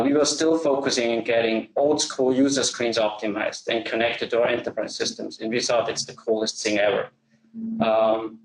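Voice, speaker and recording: Middle-aged austrian guy pitching software, deliberate and steady, roomy echo around him. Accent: Austrian German. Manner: measured, slows to stress key phrases. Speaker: company founder presenting. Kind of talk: business presentation.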